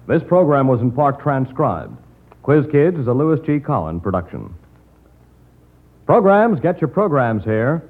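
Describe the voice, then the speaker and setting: A man's voice: mid-range voice, sing-song, measured pace. An American man making formal announcements as a 1940s radio network announcer. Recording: slightly muffled old radio recording.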